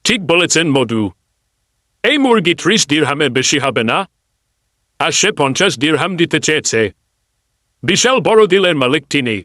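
A man's voice: a little high, big pitch swings, normal speed, pauses often, bright, forceful tone, no echo, clean studio sound. A man voicing a sly, boastful leader of swindlers in a cartoon, bossy and condescending. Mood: sly approval. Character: sly, scornful, boastful male ringleader of swindlers. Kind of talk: cartoon dubbing.

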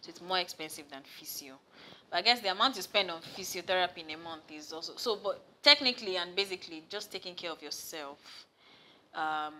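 A young Nigerian woman with a Nigerian accent, a massage therapist chatting as an interview guest and telling stories with animated bursts, recorded in a studio. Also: a little hiss or hum. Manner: animated storyteller, rises loud and high when excited. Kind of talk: casual interview chat. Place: studio set with sofa, indoors.

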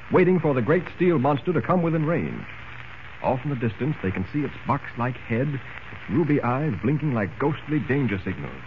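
A dull, faintly hissing vintage radio recording carries a male narrator with a fairly high, very animated voice. He tells the serial with dramatic urgency. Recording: muffled, hissy old radio recording.

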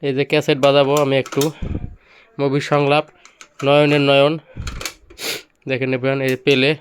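A man's voice: mid-range voice, completely flat delivery, moderate pace, a little hiss or hum. A man with a Bangladeshi accent giving an informal, repetitive product demonstration as a seller, insistently listing features. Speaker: second-hand electronics seller presenting products.

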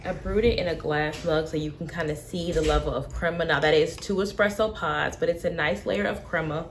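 A young woman vlogging from her home kitchen, talking through a coffee tasting in a relaxed way with plenty of fillers. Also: low voice, a little fast, a little hiss or hum. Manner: relaxed, thinking aloud with many fillers. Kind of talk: casual tasting commentary.

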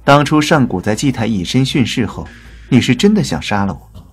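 A man acting a husky, scornful god. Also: mid-range voice, very animated, fairly quick, faint music behind. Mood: mocking, bitter. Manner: scornful, challenging delivery. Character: proud, sardonic male god, ruthless and defiant. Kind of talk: dramatic audio drama acting.

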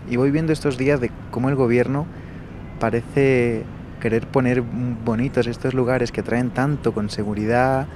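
A young man from Spain with a Barcelona Spanish accent, telling his travel diary in a calm, thoughtful and personal way. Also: medium pitch, normal speed, background noise. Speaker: travel vlogger. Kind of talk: reflective travel diary narration. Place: small bedroom in a hostel.

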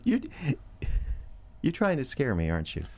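An actor playing a fumbling, defiant young radio DJ in an audio drama, stammering and sardonic. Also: male voice, mid-range voice, wildly swooping pitch, normal speed, a little mellow. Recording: clear background noise.

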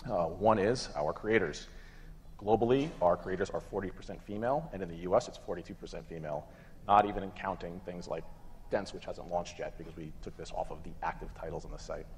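A man in his thirties presenting and moderating a conference panel in an informal, halting way. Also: deep voice. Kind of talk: conference presentation, semi-casual. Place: conference room stage with audience. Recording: echoey hall PA, clear background noise.

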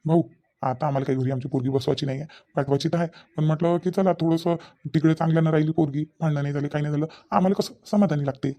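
A man's voice: fairly high pitch, steady tone, very fast, mellow, close to an everyday voice. A man playing a concerned middle-aged village father in a comedy cartoon, reasoning earnestly with questioning tags, in a rural Vidarbha Maharashtrian accent. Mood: pleading, reasoning. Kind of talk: Marathi comedy cartoon dialogue.

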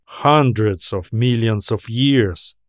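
A man reading a scripted science fiction story as its narrator, with a measured, deliberate delivery. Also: lowish voice, dry recording, studio quality.

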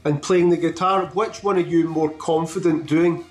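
A man with a high voice hosts a music interview from home. He takes his time building up each question. His voice comes through a roomy, echoey video call. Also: steady tone, normal speed. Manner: rambling, builds up questions at length. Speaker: interview host.

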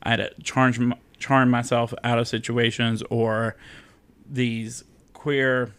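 A man's voice: mid-range voice, level tone, slow pace, a bit bright, clear, resonant tone. A man from the American South, a podcast guest talking candidly and with self-deprecating humor, using many fillers. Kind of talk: casual interview chat.

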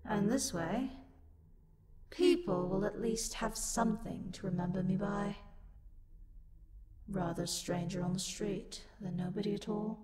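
A sharp-tongued female demon, played by a woman in an audio roleplay. She talks slowly and scornfully at a middling pitch, haughty and easily angered, with a theatrical, condescending edge. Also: over a noisy crowd, close to an everyday voice. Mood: exasperated. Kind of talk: scripted roleplay audio acting.

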